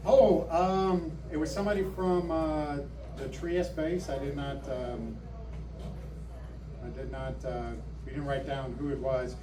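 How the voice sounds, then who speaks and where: A man giving a casual report at a club meeting, halting with many ums and restarts, recorded far off in an echoey room. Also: fairly high pitch, fairly even intonation, background noise. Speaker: meeting presenter. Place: meeting hall.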